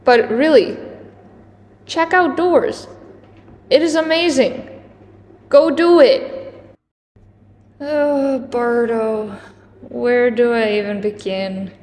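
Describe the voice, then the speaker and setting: High-pitched woman in an echoey room. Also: very slow, pauses often, a little mellow. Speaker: host of a countdown video. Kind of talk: casual commentary to camera. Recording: echoey reverberant room, clear background noise.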